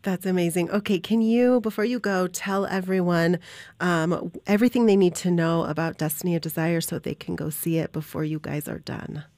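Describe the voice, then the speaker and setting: A woman's voice: on the low side, moderate pace, darkish tone, dry recording. Chatty woman host, dry close sound. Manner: enthusiastic, chatty questioner. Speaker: podcast host. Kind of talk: casual interview.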